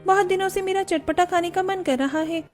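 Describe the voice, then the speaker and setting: A woman voicing an emotional young daughter-in-law in a cartoon story, eager, pleading and quick to take offence. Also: extremely high pitch, soft background music, voice pushed up. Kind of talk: Hindi cartoon story dialogue.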